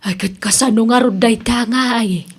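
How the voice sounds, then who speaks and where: Actress as a very high, husky homely Ilocano woman. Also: normal speed. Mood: worried, caring. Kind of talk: Ilocano radio drama acting.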